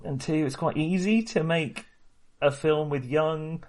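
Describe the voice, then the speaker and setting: A man co-hosting a film podcast in casual discussion, talking haltingly and often correcting himself. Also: fairly high pitch, a little mellow. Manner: hesitant, halting, self-correcting.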